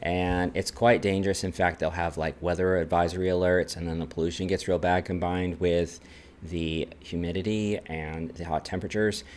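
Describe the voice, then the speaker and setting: A man's voice: low voice, little pitch movement, normal speed, a little hiss or hum. A man from Texas with an American accent, talking about his own life as a vlogger. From Texas, United States. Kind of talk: personal talk to camera, numbered list.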